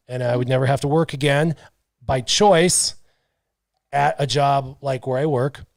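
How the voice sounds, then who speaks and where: A man's voice: medium pitch, no room sound. Guy hosting a chill podcast, thirties, reads chat comments, dead dry mic sound. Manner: relaxed, reads out viewer comments. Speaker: podcast host. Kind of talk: casual chat. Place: small brick-walled studio. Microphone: headset and studio mic.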